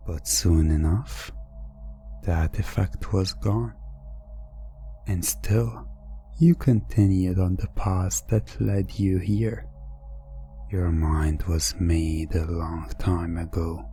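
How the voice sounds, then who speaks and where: A man narrating a scripted hypnosis ASMR story in a hushed, deliberate, dramatic manner. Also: low voice, steady tone, faint music behind. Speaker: narrator of a hypnosis audio roleplay.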